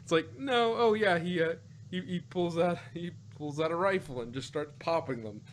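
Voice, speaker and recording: A man co-hosting a review podcast in casual, unscripted chat, rambling and often rephrasing himself with animated asides. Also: on the high side, normal speed, a little hiss or hum. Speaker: podcast co-host reviewing audio dramas.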